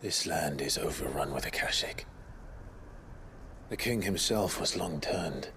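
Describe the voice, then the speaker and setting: An actor playing a grim, resolute and protective warrior in a fantasy video game, speaking in solemn, measured phrases. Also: male voice, very deep voice, animated, background noise. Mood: grim, brooding. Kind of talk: dramatic video game cutscene acting.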